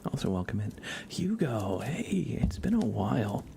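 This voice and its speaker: A man speaks very softly and close to the microphone as he hosts an ASMR livestream. His voice is deep with a lot of creaky fry, often slipping into a breathy whisper. He rambles gently and casually to viewers, with a faint hiss behind him. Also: sing-song, measured pace. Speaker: livestream host.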